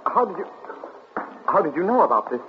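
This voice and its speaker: An actor in a 1940s radio play voicing a nervous, defensive heir who hesitates, then turns indignant. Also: male voice, sits high, very animated, fairly quick, background noise. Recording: dull old radio broadcast.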